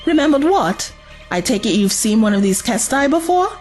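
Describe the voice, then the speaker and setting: A woman's voice: on the high side, big pitch swings, normal speed, darkish tone, soft background music. A woman playing a blunt young adventurer's companion in an audio drama, direct and questioning. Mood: urgent, wary.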